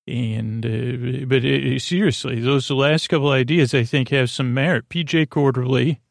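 Male podcast host, mid-pitched and gravelly, close dry sound, delivering a halting, whimsical bedtime ramble. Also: steady tone, no room sound.

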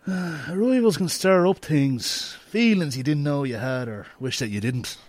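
A man voicing a calm, concerned stranger who offers water and speaks softly and sympathetically. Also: on the high side, measured pace, no echo, voice pushed up.

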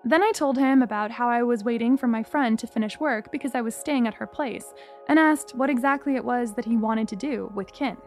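A woman's voice: a little high, brisk, darkish tone, soft background music. A young woman from Singapore narrating her own story in a wry, candid, first-person storytelling way.

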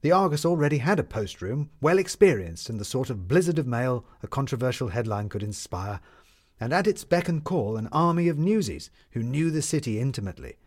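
Measured male storyteller, mid-range voice. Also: mid-range voice, big pitch swings, darkish tone. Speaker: narrator. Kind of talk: scripted story narration.

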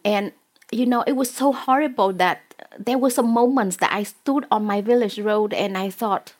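A woman's voice: medium pitch, moderate pace. A woman with a Vietnamese accent, an author and poet talking in an interview, hesitant with many fillers and restarts. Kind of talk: conversational interview.

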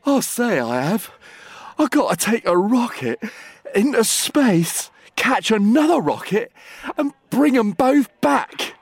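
Hapless British working-class chap, pitched high, slow, deferential and chatty, comic storybook. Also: male voice, big pitch swings, husky, no echo, exaggerated voice. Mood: glum, reluctant. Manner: hesitant, chatty, colloquial, deferential.

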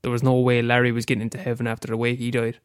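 A man's voice: mid-range voice, monotone, very fast, smooth, no echo, clean studio sound. A young Irish man with a head cold, reading aloud a short story he wrote, after a hesitant casual introduction. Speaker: amateur storyteller.

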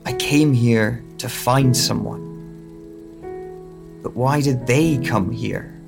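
A man's voice: mid-range voice, slow, creaky, over music. An actor playing a troubled man with lost memory, musing alone in a hesitant, reflective audio drama monologue. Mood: searching, unsettled. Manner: hesitant, reflective, broken phrasing.

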